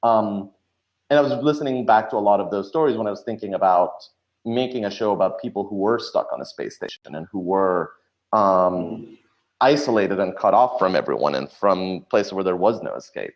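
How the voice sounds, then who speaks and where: A man's voice: fairly low pitch, expressive, moderate pace. A man chatting in an interview as a guest drama writer, explaining and telling stories in a reflective way. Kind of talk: casual interview chat. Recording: dull lo-fi audio.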